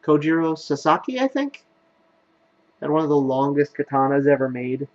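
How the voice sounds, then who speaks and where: A man's voice: a little high, sing-song, normal speed, muffled recording. A man giving casual, rambling commentary as he plays through a video game. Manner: rambling, offhand. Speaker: gaming video host. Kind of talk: casual let's play commentary.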